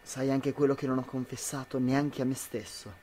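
A man's voice: mid-range voice, flat delivery, normal speed, a little mellow, a little hiss or hum, natural voice. A man playing an anxious, suspicious citizen of a dystopian future in an amateur radio drama, dramatic and questioning. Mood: wary, astonished.

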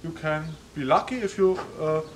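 Young man, casual tour talk, slightly high voice, echoey wooden barn, distant mic with faint hiss. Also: normal speed. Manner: chatty, frequent ums, gestures while walking. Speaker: vlogger giving a tour. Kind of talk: casual walkthrough narration. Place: large old wooden barn with hay. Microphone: camera mic at a distance.

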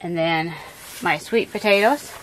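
A woman's voice: fairly low pitch, measured pace, a bit bright, a little hiss or hum, low-quality recording. A middle-aged woman giving a casual tour of her backyard garden and greenhouse, explaining plants in an easygoing way with frequent ums and uhs, filming on a handheld phone outdoors.

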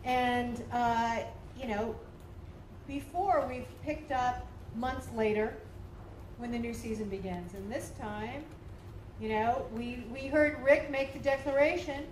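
A woman answering on a convention panel, loud and chatty with a handheld mic. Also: fairly high pitch, slow pace. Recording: echoey tent with faint noise.

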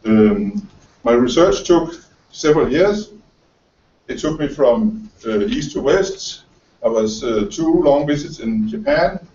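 Danish-born journalist in his 50s, halting lecture delivery, picked up from afar in a roomy room. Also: mid-range voice, expressive, dark tone, a little hiss or hum. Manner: halting, many fillers and repeated words. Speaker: journalist and author giving a lecture. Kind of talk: lecture talk reading from notes. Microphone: far camera. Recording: roomy, distant, dull camera audio.

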